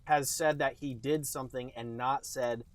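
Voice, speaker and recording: A man with a medium-pitched voice gives casual comic commentary on a podcast. He breaks in with a mock-analytical manner, picking things apart. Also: steady tone, normal speed. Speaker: podcast co-host.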